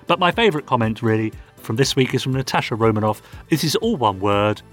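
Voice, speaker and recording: A man's voice: medium pitch, lively intonation, fast talker, fairly bright tone, soft background music. A man co-hosting a podcast, reading listener comments and bantering in a jokey way. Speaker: podcast co-host.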